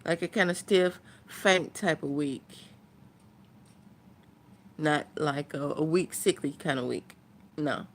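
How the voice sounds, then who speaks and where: A woman's voice: deep voice, slow, long pauses. A woman chatting casually to her viewers as a vlogger, thinking aloud in a rambling way.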